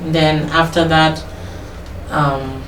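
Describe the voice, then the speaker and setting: Low-voiced Kenyan woman telling her story. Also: flat delivery, very slow, soft background music. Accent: Kenyan English. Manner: rambling, self-correcting, laughs while telling. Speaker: interview guest. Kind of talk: casual life-story interview. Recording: echoey, distant mic with background noise.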